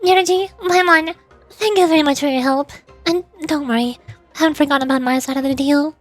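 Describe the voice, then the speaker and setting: A woman voicing a brash, scolding young granny from a video game, brisk, teasing and casual. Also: high-pitched, soft background music, close to an everyday voice. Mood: breezy.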